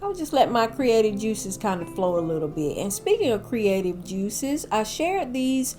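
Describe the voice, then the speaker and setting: A woman's voice: mid-range voice, warm tone. Older woman, cold-nasal, chatty decor vlogger voice-over, faint music bed. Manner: chatty, addresses viewers as y'all. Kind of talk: casual voice-over narration.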